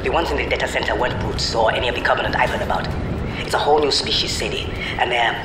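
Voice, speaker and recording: A man's voice: rapid-fire, natural voice. An actor playing a worried, earnest middle-aged scientist father in a video game, talking with anxious awe. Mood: awed. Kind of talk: video game audio drama. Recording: distant, echoey radio call under loud music. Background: loud music, vehicle rumble.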